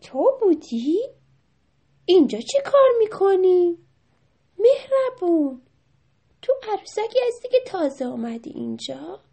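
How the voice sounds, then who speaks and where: A woman acts out a small mouse in a children's story. It speaks in a raised, very high voice. It is curious, jumpy and easily worried. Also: very animated, vocal fry, pauses often, warm tone, heavily acted. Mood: curious, excited.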